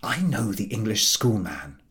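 A man's voice: lowish voice, very animated, measured pace, a bit bright. A man voicing a shrewd, scheming London publishing manager in a storybook, with formal, persuasive, confiding speech. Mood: conspiratorial scheming.

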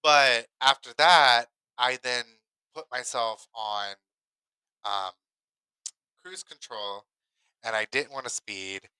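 A man's voice: mid-range voice, slow, long pauses, sharp tone, clear, resonant tone, no room sound, rough recording. A man co-hosting a podcast, telling a personal story casually and emphatically, often repeating himself to drive a point home. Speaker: podcast co-host.

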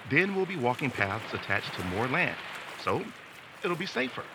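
A man's voice: medium pitch, sing-song, moderate pace, noisy background. A man playing an easygoing young guide in a supernatural audio drama, talking casually and explaining things. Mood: explanatory. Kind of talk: audio drama acting, conversational.

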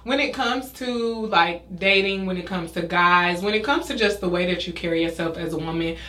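A woman's voice: fairly low pitch, normal speed, pushed and bright. A young woman hosting a casual podcast chat, giving her opinions in an emphatic, informal way. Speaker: podcast host, influencer. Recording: echoey, roomy indoor podcast sound.

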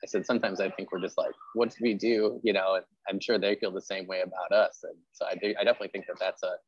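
A man's voice: sits low, flat delivery, fast, husky, dry recording, lo-fi sound. A man hosting a casual interview, chatting effusively and peppering his talk with "like". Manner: effusive, conversational, heavy use of "like". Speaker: interview host; history teacher.

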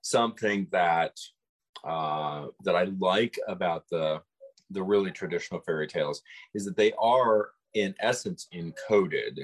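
A man co-hosting a podcast, talking in a measured, thoughtful way with frequent restarts. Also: sits low, husky. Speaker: podcast co-host. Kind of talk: conversational discussion of folklore.

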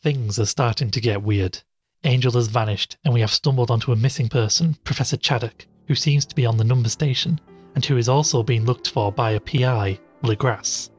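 A man's voice: mid-range voice, brisk, soft background music, lightly acted. A man playing an inquisitive, cautious podcaster narrating an eerie investigation in an audio drama. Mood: uneasy, reflective. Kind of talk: first-person audio drama narration and dialogue.

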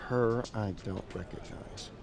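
An actor playing a blunt, suspicious senator in an audio drama, cynical and menacing, with a gravelly voice. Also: male voice, lowish voice, steady tone, a little slow, darkish tone, faint music behind. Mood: uneasy. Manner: cynical, threatening. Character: blunt, suspicious, foul-mouthed male senator.

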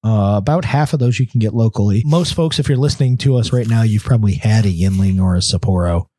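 A man's voice: lowish voice, fast, mellow, husky, no room sound. A man co-hosting a podcast in casual chat, slightly congested, rambling with lots of fillers. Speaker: podcast co-host.

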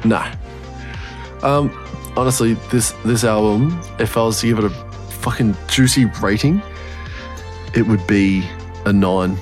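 Croaky, low-voiced man chatting enthusiastically. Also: a little slow, long pauses, soft background music. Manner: sweary, enthusiastic. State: voice strained from singing. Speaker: podcast co-host. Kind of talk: casual music review chat.

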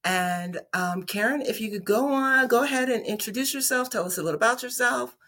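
A woman's voice: a little high, brisk, a bit bright. A woman hosting a podcast interview, chatting casually and encouraging her guest with frequent affirmations. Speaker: podcast host.

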